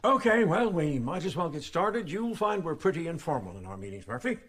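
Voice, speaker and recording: An actor playing a brisk, sceptical theatre company leader chairing a meeting in a radio drama. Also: male voice, fairly high pitch, big pitch swings, fairly bright tone, faint music behind. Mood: businesslike.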